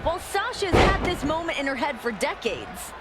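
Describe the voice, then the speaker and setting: A woman's voice: sits high, very animated, moderate pace. A woman giving scripted wrestling commentary for a video game. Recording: arena noise and ball-like thuds behind. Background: busy wrestling arena ambience.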